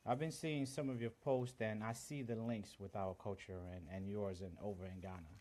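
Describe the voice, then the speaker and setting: A man's voice: on the low side, steady tone, normal speed, warm tone. A man from Honduras whose first language is Garifuna, hosting a casual live-stream chat, earnest, greeting viewers by name and mixing in Spanish greetings. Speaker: livestream host.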